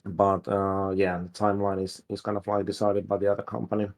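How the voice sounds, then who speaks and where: A man's voice: lowish voice, fairly quick. A man with a Finnish accent, a game developer answering questions casually on a livestream, with many fillers. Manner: relaxed, hesitant, many fillers.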